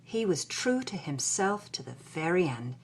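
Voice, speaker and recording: A woman's voice: lowish voice, sing-song, unhurried, slightly rough, heavily acted. A woman playing a sardonic, troubled 1940s Hollywood writer, reading her journal with theatrical expression. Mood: wry. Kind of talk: dramatic first-person reading of journal.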